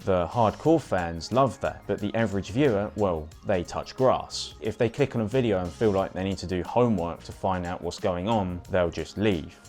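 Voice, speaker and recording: A man presenting a scripted video essay in an explanatory way, with emphatic phrasing and pointed pauses. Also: sits low, darkish tone. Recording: voice-over with background music. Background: music.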